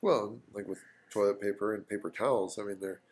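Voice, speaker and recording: A low man with a gravelly edge co-hosts a podcast. He speaks loudly, tosses in quick jokes and leans on fillers. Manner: loud, quick joking asides, many fillers. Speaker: podcast co-host. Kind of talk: casual chat over bourbon tasting.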